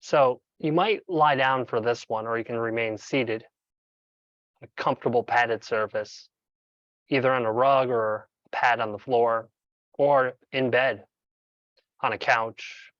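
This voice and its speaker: A man speaking as a meditation coach, narrating a guided session in a measured, instructional way with deliberate pauses. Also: medium pitch, steady tone, a bit bright, no room sound, highs cut off.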